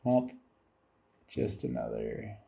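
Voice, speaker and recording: A man's voice: rumbling low, very animated, slow, gravelly, warm tone, slightly rough. A tired man giving rambling, casual commentary over game footage, musing aloud as he goes and swearing casually.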